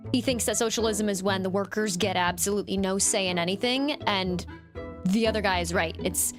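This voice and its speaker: A woman's voice: medium pitch, a little fast, music in the background. A woman giving a scornful, explanatory voice-over commentary.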